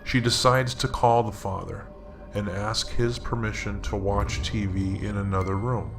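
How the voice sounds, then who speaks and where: Male storyteller, middle-aged, lowish voice, suspenseful scary-story read, roomy sound with music under it. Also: fairly even intonation, creaky. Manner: slow, deliberate, suspenseful delivery. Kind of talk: dramatic scary story narration.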